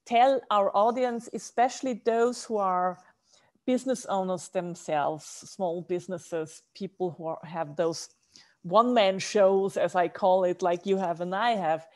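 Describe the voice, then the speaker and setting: A woman hosting an interview, asking long winding questions and giving soft backchannel murmurs. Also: medium pitch, fairly even intonation, a little slow. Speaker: host.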